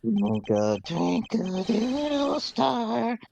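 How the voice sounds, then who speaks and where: A performer voicing a dazed, dramatic elderly woman with a creaky, whimsical delivery in a comedic game dub. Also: mid-range voice, huge pitch swings, very slow, darkish tone, muffled recording, slight background noise, pitched above the performer's own voice, exaggerated voice. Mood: whimsical, woozy.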